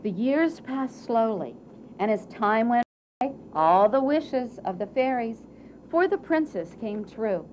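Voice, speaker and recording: A woman narrating a scripted fairy tale for a puppet show in a theatrical way, with dramatic pauses and emphasis. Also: on the high side, animated, a little mellow. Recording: muffled, faint background noise.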